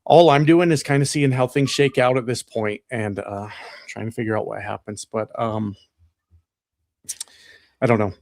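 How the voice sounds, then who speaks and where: A man's voice: medium pitch, steady tone, moderate pace, creaky, pauses often, darkish tone, no room sound, studio quality. An American man co-hosting a political livestream, talking loosely with lots of swearing, ums and self-mocking laughs. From United States. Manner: loose and chatty, swears freely, laughs at himself, many ums. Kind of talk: casual political chat.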